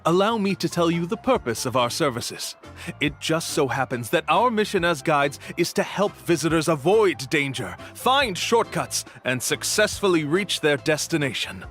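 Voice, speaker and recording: A man playing a smooth-talking scammer who poses as a tour guide in a video game, with florid, persuasive patter. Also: on the high side, sing-song, moderate pace, a bit bright. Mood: grandiose. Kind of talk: animated video game dialogue. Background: music.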